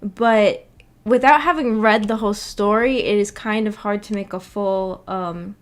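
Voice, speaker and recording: A woman's voice: mid-range voice, measured pace. A woman streamer chatting casually with her viewers, hesitant and giggly as she searches for words. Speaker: streamer hosting a visual novel book club. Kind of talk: casual livestream chat, reacting to viewer comments.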